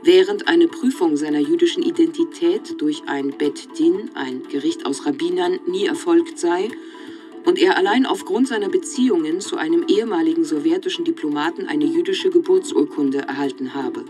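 A woman performing as a matter-of-fact narrator in a documentary radio play, with a measured delivery over music. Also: on the low side, lightly acted. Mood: factual. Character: matter-of-fact narrator reporting a disputed biography. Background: clear music.

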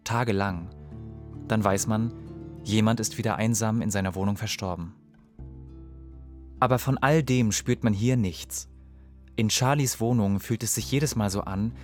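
A man narrating a documentary voice-over in a calm, measured way. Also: on the low side.

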